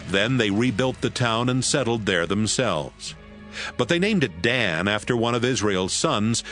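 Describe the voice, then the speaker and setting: A man narrating scripture in a steady, measured way. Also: mid-range voice. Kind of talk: scripted audio Bible narration.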